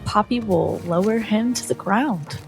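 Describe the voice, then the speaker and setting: A woman's voice: medium pitch, unhurried, creaky. A woman playing a tabletop role-playing game, speaking casually as a player. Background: music.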